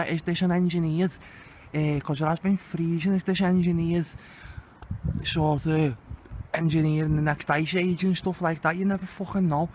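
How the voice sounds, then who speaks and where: A man's voice: a little high, a little mellow. A man with a Liverpool accent giving indignant, sweary, exclamatory commentary in a casual vlog he films outdoors. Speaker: video channel host. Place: outdoors under open sky. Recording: very noisy outdoor amateur recording.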